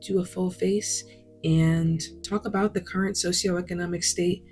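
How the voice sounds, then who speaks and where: Brief: low-voiced woman with a New York accent, verbose and bougie, makeup chat with faint music. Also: fairly even intonation, normal speed, a little mellow. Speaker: vlogger. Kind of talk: chatty commentary while doing makeup. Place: home glam room.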